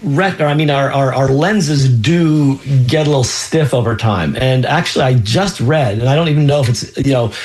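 A man at a middling pitch hosts a call-in health show, answering listeners like a practising doctor. He explains at length, filling gaps with ums and circling back to restart his sentences. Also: normal speed, hardly any pauses, a little mellow. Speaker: radio health show host and physician. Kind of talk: call-in radio advice.

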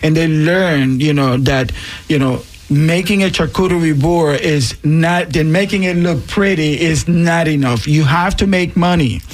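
A woman's voice: deep voice, a little slow. A woman with a Puerto Rican accent, a guest on a radio panel, explains a training program earnestly, with many fillers along the way.

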